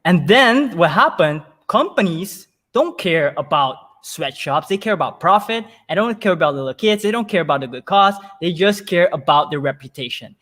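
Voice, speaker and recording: A man's voice: a little high, animated, normal speed, hardly any pauses, fairly bright tone. A man answering as an interview guest in a conversational, storytelling way, emphatic and often repeating words as thoughts form.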